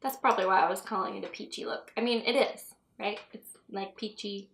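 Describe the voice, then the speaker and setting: A woman's voice: medium pitch, steady tone, fairly quick, vocal fry, a bit bright. A young woman vlogging a makeup tutorial in a chatty, rambling way, laughing often, her voice a bit stuffy from a cold. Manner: chatty, giggly, rambling asides. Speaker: beauty vlogger. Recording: echoey room.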